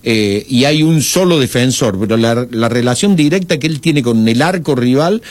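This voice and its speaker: A man gives rule analysis on sports radio in a mid-pitched voice. He is methodical and formal, pausing as he builds each point, on a close, dry microphone. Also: lively intonation, no room sound. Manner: methodical, hesitant pauses, formal wording. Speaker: refereeing analyst. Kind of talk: explaining rules on live sports radio.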